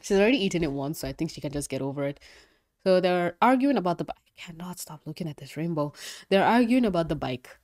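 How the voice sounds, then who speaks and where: A young adult woman reviewing a cartoon episode in casual commentary, gushing and excitable, swearing freely, sounding congested and nasal. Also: lowish voice, quick pace.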